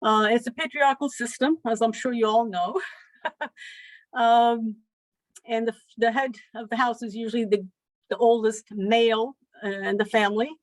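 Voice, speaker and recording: A woman from Afghanistan giving an educational presentation as a lecturer, measured and pausing often with ums. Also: fairly high pitch, fairly even intonation, no room sound. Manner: measured, with frequent ums and pauses.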